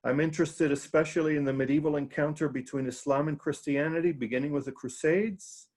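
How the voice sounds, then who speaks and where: A middle-aged man from South Florida, a theology professor introducing himself on a video call, talking in halting bursts with many fillers and restarts. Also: on the high side, steady tone, darkish tone. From South Florida, USA. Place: home study with bookshelves. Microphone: video call webcam.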